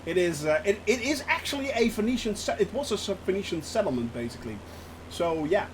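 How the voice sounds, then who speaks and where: Dutch-accented male streamer, chatty and excitable, bright high voice with big swoops in pitch. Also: a little fast, background noise. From Netherlands. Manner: chatty and excitable, laughs often. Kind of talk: casual live-stream chat while flying a simulator.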